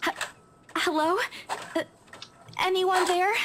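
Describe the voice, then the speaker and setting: A man's voice: very high voice, flat delivery, slow pace, noisy background, pitched above the performer's own voice. A man voicing an anxious, hesitant young female office worker in a horror game. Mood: nervous.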